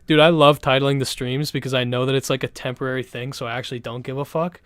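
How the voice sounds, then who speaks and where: A young man from Canada chats loosely with his live stream audience, swearing freely and restarting his sentences. His voice is smooth and clear at a middling pitch, recorded close and dry with no room sound. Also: level tone, quick pace, no echo. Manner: rambling, profane, laid-back, full of restarts. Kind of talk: casual live-stream chat.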